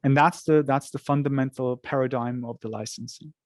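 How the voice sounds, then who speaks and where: A man with a mid-pitched voice gives explanatory answers in a webinar interview. He is measured and occasionally repeats a word while finding his phrasing. The recording is dry, with very little room sound. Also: fairly even intonation, no echo. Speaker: software company representative answering questions.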